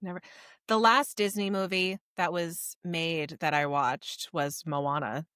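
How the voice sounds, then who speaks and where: A woman with a crisp, bright voice that sits a little low hosts a casual book chat. Her delivery is informal and emphatic, prone to gushing. The recording is close and dry. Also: fairly even intonation, unhurried, no room sound. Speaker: podcast host.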